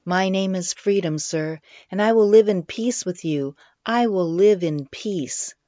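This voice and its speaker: A woman's voice: fairly low pitch, takes it slowly, mellow, no echo, lo-fi sound. Woman doing a defiant little girl, firm and ringing, dry sound.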